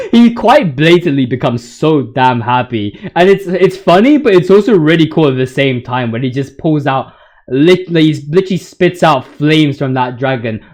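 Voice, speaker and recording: A man's voice: on the high side, sing-song, measured pace, darkish tone. A man giving casual, slangy video game commentary in a ranting, hyperbolic, joking way, swearing freely. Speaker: video game commentator ranking boss voice lines.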